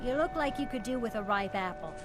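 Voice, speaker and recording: Music plays clearly around a woman acting as a female market vendor in a fantasy game. She calls out her produce in a high voice, as a street hawker does. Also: expressive, fast talker, close to an everyday voice. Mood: hawking. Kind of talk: video game character dialogue, street hawking. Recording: music over the voice.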